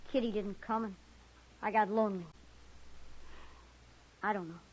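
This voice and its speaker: A woman's voice: mid-range voice, fairly even intonation, unhurried, darkish tone, close to an everyday voice. A woman playing a wary, lonely American orphan boy in a 1950s radio western, guarded, plaintive and terse. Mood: wary, defensive. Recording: muffled old radio recording.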